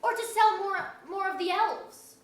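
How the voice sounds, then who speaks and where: A woman acts a haughty forest fairy in a staged verse play. The voice is high and taunting, heard at a distance in an echoey auditorium. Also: a little slow, noisy background. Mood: accusing. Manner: accusing, archaic phrasing. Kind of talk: staged reading of verse drama. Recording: echoey auditorium, recorded from far off.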